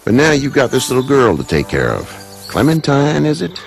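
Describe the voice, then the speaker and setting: An actor playing a blunt, fatherly old farmer with a gravelly voice in a video game. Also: medium pitch, huge pitch swings, moderate pace, faint music behind. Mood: frank, advising. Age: older. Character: gruff, shrewd old farmer, blunt, fatherly, proud of family.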